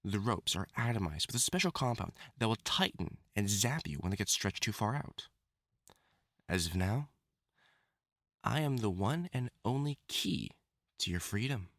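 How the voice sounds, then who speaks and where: A man's voice: lowish voice, sing-song, a bit bright, no echo, studio quality, heavily acted. A man playing a theatrical male wolf-mutant supervillain in love, teasing and wounded, in a scripted audio roleplay spoken to the listener. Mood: teasing, menacing. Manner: theatrical, taunting, dramatic swoops and pauses.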